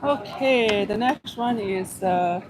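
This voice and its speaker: A woman's voice: mid-range voice, slow, noisy background. Woman co-host in broken English. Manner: simple broken English, laughs often. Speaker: vlog co-host. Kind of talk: casual live-stream chat. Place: restaurant at breakfast with crowd around. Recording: busy crowd chatter behind.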